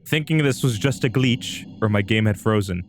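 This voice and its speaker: Male livestream narrator, fairly low register, reading amateur horror fiction aloud, slight noise behind. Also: moderate pace. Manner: breaks off into amused asides.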